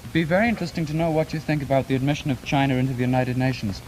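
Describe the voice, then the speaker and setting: Male debater, British accent, mid-range voice, measured and articulate, faintly noisy archive broadcast. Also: medium pitch, a little mellow. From Britain. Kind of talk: formal panel discussion.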